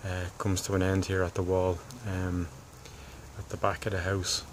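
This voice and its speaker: A deep-voiced man talks through a home DIY job in an even, low-key tone. He pauses and thinks aloud as he explains, in a casual hobbyist style, with ambient noise on the recording. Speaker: hobbyist presenter. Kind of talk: casual DIY demonstration talk.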